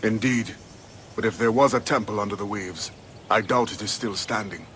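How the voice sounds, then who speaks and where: Lowish male voice, grave formal veteran assassin, acted by a man, game cutscene, faint ambient noise. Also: moderate pace, fairly bright tone, lightly acted. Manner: solemn, formal. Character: grave, weary older male freedom-fighter assassin. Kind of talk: video game cutscene acting.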